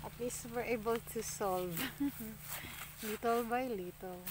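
A woman's voice: fairly high pitch, takes it slowly. A middle-aged woman with a Filipino accent, chatting casually in a farm vlog, often laughing and filling gaps with "um", recorded on a phone held at arm's length outdoors. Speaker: farm vlogger. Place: outdoors on a rural farm path. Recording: outdoor phone audio with rural noise.

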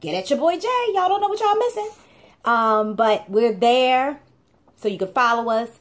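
A woman's voice: a little high, sing-song, normal speed. Chatty woman vlogging to camera. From Baltimore, USA. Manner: chatty, playful, emphatic repetition. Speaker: blog co-host. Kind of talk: casual talking-to-camera vlog. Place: small indoor room.

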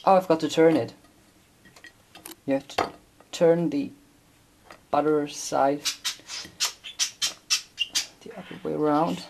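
A woman's voice: deep voice, steady tone, slow, gravelly, long pauses, a little mellow. Chatty young woman with a noisy camera. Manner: chatty, rambling, talks to her pet budgie. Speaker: vlogger. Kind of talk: casual home cooking vlog. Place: small home kitchen, indoors. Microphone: handheld camera.

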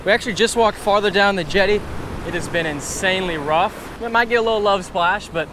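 A man's voice: sits high, a little fast, heavy background noise. Hyped young guy fishing off a jetty. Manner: hyped, exclamatory, talks while fishing. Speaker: fishing vlogger. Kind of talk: excited outdoor fishing commentary. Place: outdoors on a rock jetty by rough sea. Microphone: action camera worn on body.

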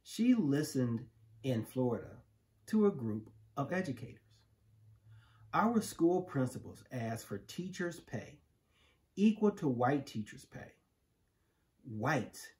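A middle-aged American man reading a children's picture book aloud with measured, emphatic phrasing. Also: mid-range voice, very animated, darkish tone. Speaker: storybook reader. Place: living room, indoors on a couch. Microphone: camera a few feet away.

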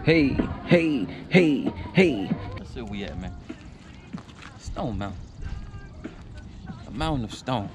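A man's voice: medium pitch, sing-song, takes it slowly, long pauses. A young man vlogging while hiking up a rocky mountain outdoors, playful and teasing, laughing often, on an action camera. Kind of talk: casual hiking vlog chat.

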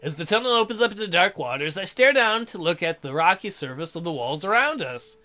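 A smitten young woman diver as a man acts her out in a romance visual novel. The voice is high and bright, gushing and earnest, sometimes hesitant. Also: lively intonation, a little fast. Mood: awed.

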